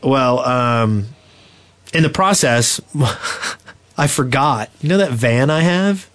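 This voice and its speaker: Casting: young male podcast host, mid-pitched, chatty and animated, casual storyteller. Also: takes it slowly. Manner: animated, acts out quoted exchanges.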